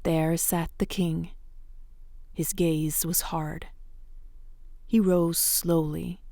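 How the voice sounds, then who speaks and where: A woman narrating a dark fairytale in measured, suspenseful short phrases. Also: lowish voice, little pitch movement, vocal fry, dry recording, clean studio sound.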